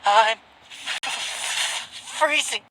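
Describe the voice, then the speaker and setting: Husky, shivering young adventurer boy. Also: fairly high pitch, sharp tone, full character voice, poor audio. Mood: miserable. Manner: halting, stammering. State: shivering with cold. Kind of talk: anime-style video game voice acting.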